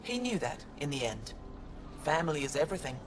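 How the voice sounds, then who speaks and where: An actor voicing a brusque older alien biologist in a video game, with a curt, formal, precise way of talking. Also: male voice, a little high, sing-song, fairly quick. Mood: grave, reflective. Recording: clearly noisy game ambience.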